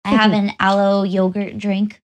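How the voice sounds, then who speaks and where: A woman's voice: medium pitch, little pitch movement, normal speed. A woman co-hosting a casual podcast from a hotel room, playful and teasing, laughing often. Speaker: podcast co-host. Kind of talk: casual chat. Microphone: different travel microphone.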